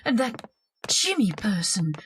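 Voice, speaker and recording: A woman's voice: medium pitch, big pitch swings, unhurried, slight background noise. A woman playing a snobbish, scolding wife and party hostess in old-time radio horror style. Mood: scornful, aggrieved. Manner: haughty, scolding, theatrical declaiming.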